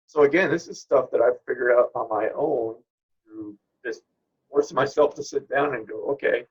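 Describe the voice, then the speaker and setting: Interview guest, male, middle-aged. Husky and creaky, reflective, conversational delivery. Slightly muffled, low-fidelity recording. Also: normal speed. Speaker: guest, spirit medium. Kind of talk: informal interview talk.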